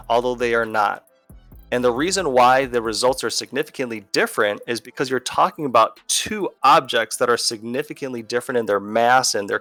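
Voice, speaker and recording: A man narrates an educational video as a teacher. His voice sits at a middle pitch and is recorded close and dry, with faint music behind it. He explains things conversationally, one step at a time. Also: fairly bright tone, no room sound. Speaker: physics teacher.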